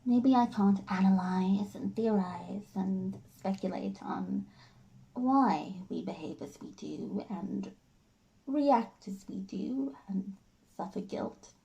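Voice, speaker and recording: A woman acting a hurt, defiant, fiercely loving wife in a theatrical stage monologue, with emphatic, drawn-out delivery. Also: mid-range voice, vocal fry, dark tone, lo-fi sound. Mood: wounded, restrained.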